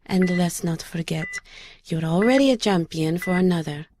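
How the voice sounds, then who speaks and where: A woman playing a gentle but resolute member of a mystic sisterhood, earnest and solemn in an audio drama. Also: fairly low pitch, animated, normal speed, background noise, lightly acted.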